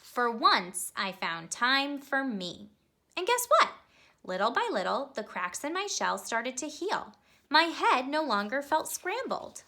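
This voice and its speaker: A woman reading a children's picture book aloud, telling it expressively and pausing to ask young listeners questions. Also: high voice, sing-song, sharp tone. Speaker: storybook reader.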